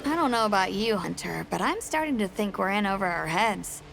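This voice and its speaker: A woman's voice: medium pitch, sing-song, fairly quick, vocal fry, fairly bright tone. An actress voicing a hearty woman smith in a game cutscene, folksy and encouraging. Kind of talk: video game cutscene acting. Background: game score music.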